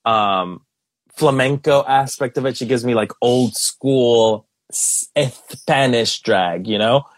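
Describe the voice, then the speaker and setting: A man co-hosting a podcast, trading quick jokes and laughing often in casual banter. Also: mid-range voice, no echo. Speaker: podcast co-host. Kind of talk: casual chat, reviewing reality show contestants.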